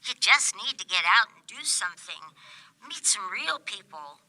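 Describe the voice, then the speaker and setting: Radio play operator, played by a woman: very bright, forceful, matter-of-fact, close and dry. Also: medium pitch, big pitch swings, moderate pace, dry recording, exaggerated voice, poor audio. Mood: brisk, advising. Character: brisk female customer service telephone operator.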